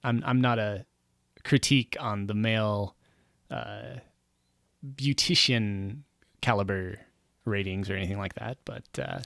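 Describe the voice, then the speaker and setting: A man's voice: fairly low pitch, measured pace, creaky, long pauses, a bit bright, no room sound, clean studio sound. A man chatting casually on a game stream, rambling and wry, often trailing off with um and uh.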